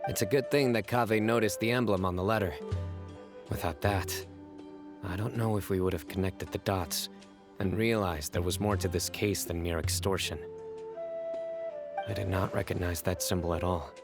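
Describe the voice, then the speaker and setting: Stern young game lawman, real low voice, formal n deadpan, kinda weary, music in the background. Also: moderate pace. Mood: grave, reflective. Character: stern, reserved young male desert lawman, serious and weary. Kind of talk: video game voice acting.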